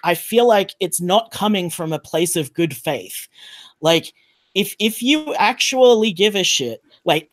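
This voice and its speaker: A woman speaking casually and frankly as a podcast panelist in a group discussion. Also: medium pitch.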